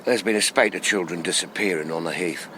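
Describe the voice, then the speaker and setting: An actor playing a civil, plain-spoken Victorian police constable with a deferential, folksy manner in a radio drama. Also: male voice, low-pitched, fairly quick, sharp tone, slight background noise. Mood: civil, concerned.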